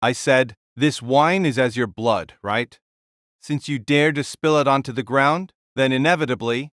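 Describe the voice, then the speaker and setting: A man's voice: mid-range voice, unhurried, a bit bright, no echo, clean studio sound, close to an everyday voice. A man voicing a proud, commanding warrior king in an audio drama, with grandiose, declamatory delivery. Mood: stern challenge.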